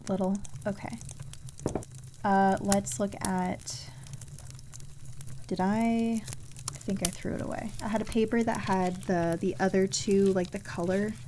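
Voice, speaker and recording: A woman playing a puzzle game and giving casual commentary, thinking aloud haltingly and trailing off as she works things out. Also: medium pitch, noisy background. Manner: halting, trailing off while working through puzzles.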